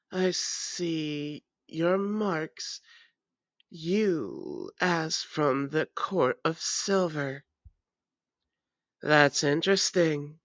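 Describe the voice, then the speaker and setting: A woman voicing a menacing, taunting ancient vampire in a theatrical, deliberate audio roleplay monologue. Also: sits low, a bit bright, dry recording, heavily acted. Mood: taunting, intrigued.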